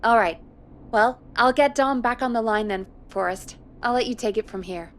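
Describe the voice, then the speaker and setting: A woman's voice: on the high side, moderate pace, close to an everyday voice. Dry, bossy female radio producer, acted. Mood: brisk, businesslike. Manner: dry, teasing. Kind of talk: video game voice acting.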